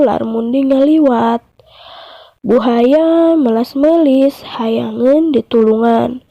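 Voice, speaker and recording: A woman teacher with a Sundanese accent speaks slowly in a high voice, explaining and narrating for young children. The recording is dry and slightly dull. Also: dry recording. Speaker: primary school teacher. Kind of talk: lesson and storytelling narration for children.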